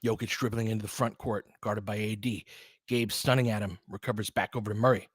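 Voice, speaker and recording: A man's voice: on the low side, fairly even intonation, brisk, husky, dry recording. American man, dry-sounding casual basketball commentary. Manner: conversational, analytical, explains tactics. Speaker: basketball commentator and host of a live stream. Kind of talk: casual live play-by-play commentary with chat.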